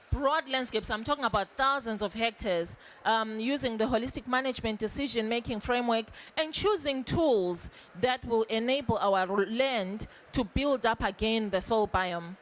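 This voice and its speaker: A young woman from Zimbabwe speaking at a conference panel, earnest and growing more emphatic as she goes. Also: on the high side.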